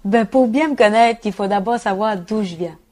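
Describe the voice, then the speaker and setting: A woman's voice: mid-range voice, moderate pace, dark tone. A woman with a Québécois accent, answering an interview in a plain, earnest way. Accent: Québécois French. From Quebec, Côte-Nord. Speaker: interviewed singer.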